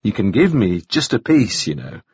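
A man voicing a suspicious, exasperated man who questions forcefully and scornfully in an audiobook. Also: lowish voice, big pitch swings, normal speed, husky, dry recording, lo-fi sound, pitched below the performer's own voice, heavily acted. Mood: pressing, accusing. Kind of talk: audiobook character acting.

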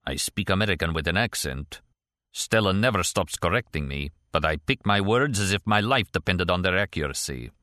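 Man playing an older Russian defector, low and deliberate. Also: expressive, bright, forceful tone, dry recording, clean studio sound. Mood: precise, rueful. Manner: deliberate, formal, precise wording. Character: older Russian defector father, proud, careful, shrewd.

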